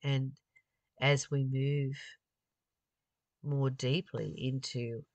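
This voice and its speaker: A person reading a spiritual text aloud and adding informal, reflective commentary, pausing mid-phrase in a halting way. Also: medium pitch, level tone, no echo, muffled recording. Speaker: spiritual study reader and commentator.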